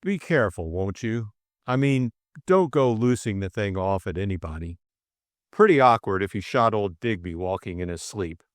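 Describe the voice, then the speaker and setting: A man's voice: fairly low pitch, lively intonation, normal speed, no room sound, studio quality, close to an everyday voice. A man voicing an earnest, fretful young upper-class Englishman who bumbles hesitantly through what he means to say in an audiobook. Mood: anxious. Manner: hesitant, bumbling, repeating himself.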